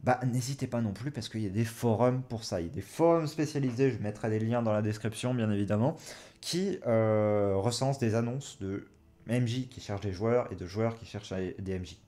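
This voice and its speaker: A man's voice: fairly low pitch, normal speed, dark tone. A man in his twenties giving casual advice as a host, often hesitating as he thinks aloud. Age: 20s. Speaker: host, experienced game master giving advice. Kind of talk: casual chat, advice to beginners.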